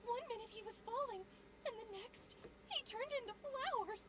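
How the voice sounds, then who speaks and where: Amazed townswoman, very high, heavy background noise. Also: normal speed. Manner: amazed, exclamatory.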